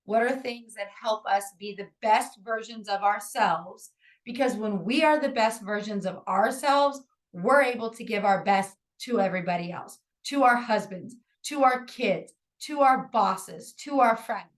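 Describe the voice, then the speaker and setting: Woman, forties, raspy and hoarse; upbeat encouraging podcast host, fairly high-pitched. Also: measured pace. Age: 40s. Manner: upbeat, encouraging, rambling with fillers. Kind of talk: casual podcast monologue.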